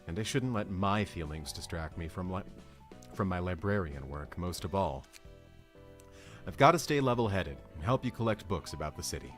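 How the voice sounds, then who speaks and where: A man's voice: sits low, animated, normal speed, faint music behind. A man voicing a polite, stoic servant-librarian in a game script read-along, formal and given to lecturing. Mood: dutiful, dry.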